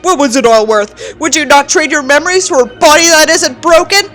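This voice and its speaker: Woman acting out a pompous, bitter teenage boy, high-voiced. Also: fairly quick, a bit bright, soft background music. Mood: contemptuous. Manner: pompous, theatrical, contemptuous. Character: bitter, self-important nihilistic teenage boy writing a journal. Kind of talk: dramatic reading of a journal.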